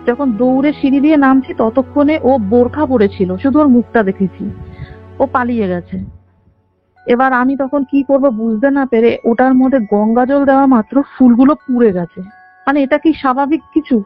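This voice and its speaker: A woman of about twenty earnestly recounts a personal story in detail on a call. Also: high-pitched. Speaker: caller telling their own story. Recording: dull band-limited phone call, faint music. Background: faint background music.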